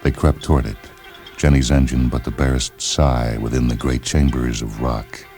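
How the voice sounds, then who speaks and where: A man narrating a science fiction short story in a measured, literary storytelling manner. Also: extremely low pitch, steady tone, darkish tone. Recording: music behind the voice.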